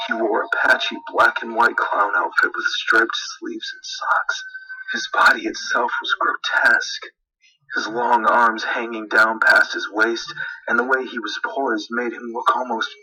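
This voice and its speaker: Man performing a horrified grieving dad, theatrical horror narration, hugely varied pitch, anguished cries and whispers. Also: sharp tone, husky, heavily acted, poor audio. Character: horrified, grieving father recounting a monstrous horror tale. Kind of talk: dramatic horror story narration.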